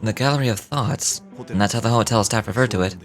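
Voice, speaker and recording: A thoughtful, composed male analyst, read by a man, speaks in a fairly low voice, formal and measured. Music plays clearly behind the video game dialogue. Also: natural voice. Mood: pensive, serious. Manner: formal, measured, stumbles over scripted words.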